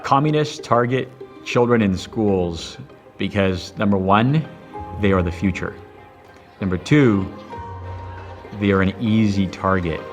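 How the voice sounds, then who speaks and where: Man from Romania, low voice, deliberate, music bed. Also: expressive.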